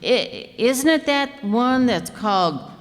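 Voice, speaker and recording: A woman's voice: on the high side, lively intonation. A woman playing a scatterbrained, chatty, good-natured member of a household in an old-time radio comedy, with guileless delivery. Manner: unhurried, guileless storytelling. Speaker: actor in radio comedy play. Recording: echoey hall, live stage performance.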